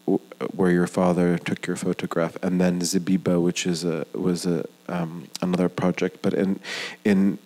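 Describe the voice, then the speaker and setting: A man moderating an onstage conversation into a handheld mic, asking tentative, soft questions. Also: low voice, gravelly, low-quality recording. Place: museum auditorium stage.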